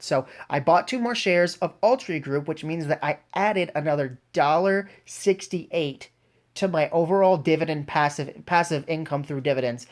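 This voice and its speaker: Low-voiced woman with a head cold. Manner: enthusiastic, informal. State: congested with a cold. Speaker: host of a personal investing channel. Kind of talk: casual vlog commentary.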